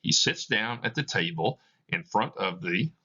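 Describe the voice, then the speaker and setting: A man reading stage directions aloud as scripted narration, with measured, deliberate phrasing. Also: on the low side, lively intonation, bright, forceful tone, breathy edge, dull audio. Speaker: reader of a play for students.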